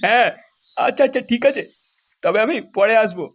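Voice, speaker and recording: A man's voice: sits high, fairly quick, husky, lo-fi sound. A man voicing a crude, quarrelsome village man in an adult comedy cartoon, brash, foul-mouthed and exaggerated. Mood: eager.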